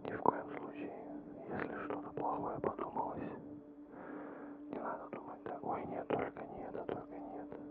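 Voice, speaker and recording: Guy whispering asmr relaxation stuff right up on the mic, soothing and breathy, thin narrow lo-fi sound. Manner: calming, unhurried, playful rambling. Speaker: ASMR host. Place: small dim room indoors. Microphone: close studio microphone with foam cover. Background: rain and thunder sound effects.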